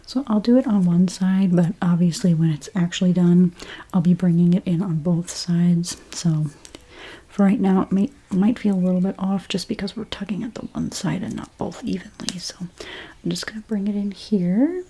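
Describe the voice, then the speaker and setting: A woman doing a soft-spoken ASMR role play as a dressmaker, gentle and unhurried, her breathy voice often slipping into a whisper. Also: on the low side. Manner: gentle, unhurried, reassuring explanations to a client. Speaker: ASMR creator role-playing a dressmaker at a fitting.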